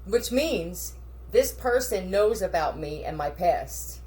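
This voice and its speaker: A woman with a somewhat low voice rambles to the camera in a scattered stream. She is crude and blunt, swears freely and speaks straight at people who are not there. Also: expressive, slow, warm tone. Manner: crude, scattered, addresses unseen listeners. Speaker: video creator.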